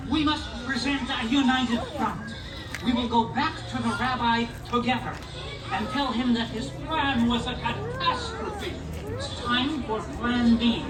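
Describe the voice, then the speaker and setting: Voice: medium pitch, expressive, measured pace, a crowd in the background, natural voice. A performer playing an earnest, flustered village husband in a children's puppet show, speaking in an emphatic, declamatory way. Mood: resolute. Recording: echoey, distant stage with loud audience.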